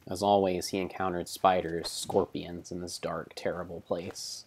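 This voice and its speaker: A man narrating video game play as a solemn, theatrical storybook tale, in measured phrases. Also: deep voice, level tone, a little mellow, slight background noise. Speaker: streamer narrating own gameplay.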